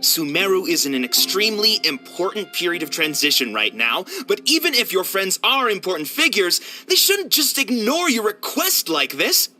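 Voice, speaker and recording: A man's voice: high voice, big pitch swings, moderate pace, few pauses, sharp tone, faint music behind, exaggerated voice. A man voicing a fussy, proud theatre manager in a game, speaking formally and emphatically. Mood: exasperated. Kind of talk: game character acting.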